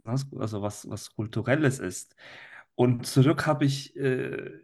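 A man from Italy, a native Italian speaker with an Italian accent, chatting as a podcast guest and often searching for his words. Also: medium pitch. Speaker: language teacher, interview guest. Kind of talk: casual podcast conversation.

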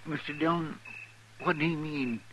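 Guy acting as folksy cowboy sidekick, sharp bright voice, respectful, old radio show with faint hiss. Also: fairly high pitch, moderate pace, natural voice, rough recording. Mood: troubled, puzzled. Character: deferential, folksy deputy sidekick to frontier marshal. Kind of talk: 1950s radio western acting. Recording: 1950s radio recording, faint noise.

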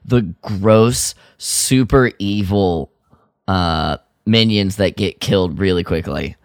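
A man co-hosting a podcast, chatting casually and retelling a story in an animated way. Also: deep voice, slow pace, smooth, no room sound, clean studio sound. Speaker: podcast co-host. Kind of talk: casual review chat about an audio drama.

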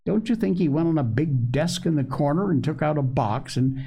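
A man plays a young boy from a children's storybook, high and very animated. He is hungry, easily indignant and pleased with himself. He rambles on eagerly, then slips into whining. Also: brisk, vocal fry, husky, exaggerated voice. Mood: chattering. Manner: boastful, whiny, rambling.

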